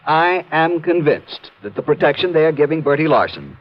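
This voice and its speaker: A man's voice: on the high side, sing-song, normal speed. An actor playing a fussy, worried, earnest insurance man in a 1950s radio drama, with a formal, emphatic delivery. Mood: worried, insistent. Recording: muffled old radio recording.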